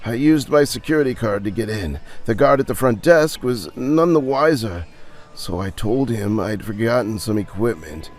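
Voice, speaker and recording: A dejected male research scientist acted by a man confesses his failings to a friend in an audio drama. His speech is halting and emphatic, full of bitter self-reproach, and his pitch moves widely. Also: lively intonation, close to an everyday voice. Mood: self-reproachful.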